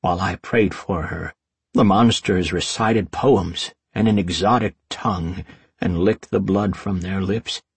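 Man voicing a commanding, husky old wizard. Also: low-pitched, fairly even intonation, unhurried, dry recording, full character voice. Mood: reminiscing. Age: older. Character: old wizard master, commanding, wistful, thin and frail. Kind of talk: storybook character dialogue.

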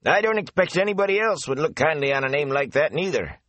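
Guy putting on a high chatty country gatekeeper, rambling folksy gossip, dry close sound. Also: expressive, quick pace, a bit bright, dry recording. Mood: eager. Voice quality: folksy dialect, rambling and eager. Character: gossipy talkative male gatekeeper, rustic.

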